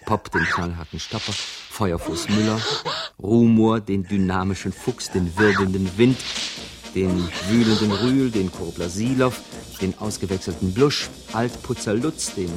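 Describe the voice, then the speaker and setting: An actor playing a restless, polite man eager to leave, with formal, halting speech, in an experimental radio play. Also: fairly low pitch, natural voice. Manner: formal, halting, recites lists. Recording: studio radio play with music behind.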